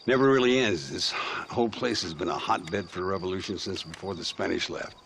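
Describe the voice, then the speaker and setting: A low, gravelly voice of an aging gunslinger, wry and world-weary. He drawls theatrically, as in a Western game cutscene, with faint noise behind him. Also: a little fast, fairly bright tone. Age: older. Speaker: video game character.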